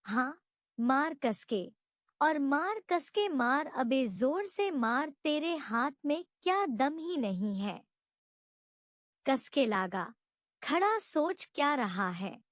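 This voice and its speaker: A woman's voice: sits high, animated, slow pace, no room sound, studio quality. A woman storyteller voicing a haughty, impatient male village priest who commands and goads. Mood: goading. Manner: commanding, goading.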